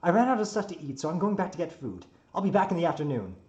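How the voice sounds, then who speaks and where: A man's voice: on the high side, sing-song, fast, darkish tone, highs cut off, pitched above the performer's own voice. Guy putting on a happy klutzy young-hearted handyman, raised voice, eager n apologetic, story character. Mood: cheerful. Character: cheerful, eager, klutzy human man building a house. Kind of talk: dramatic reading of a story.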